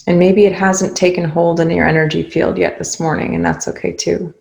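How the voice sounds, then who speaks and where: A woman guides a meditation in a low voice with very little melodic movement. Her delivery is calm and unhurried, with creaky fry in the tone. She leaves long pauses between short, gentle instructions. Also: low-quality recording. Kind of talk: guided meditation, spoken softly to listeners.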